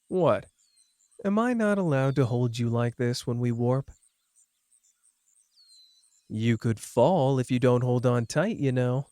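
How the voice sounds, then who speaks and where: A man's voice: mid-range voice, expressive, slow pace, long pauses, dark tone, no echo. A man playing a cocky, tender young male sorcerer in an intimate ASMR romance roleplay, with a playful, teasing, casual manner.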